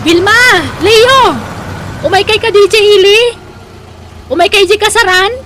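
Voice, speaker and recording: A woman's voice: very high voice, unhurried, a bit bright, slight background noise. A woman playing a sensitive, good-hearted married daughter in an Ilocano audio drama, earnest and plaintive with an Ilocano accent. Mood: friendly, eager.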